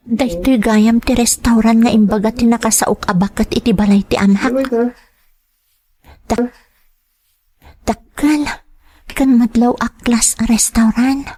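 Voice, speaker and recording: A woman's voice: on the high side, unhurried, pauses often, mellow. An actress playing an earnest, wronged young daughter seeking her father, pleading respectfully in a radio drama. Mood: wistful, hesitant. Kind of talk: Filipino radio drama acting.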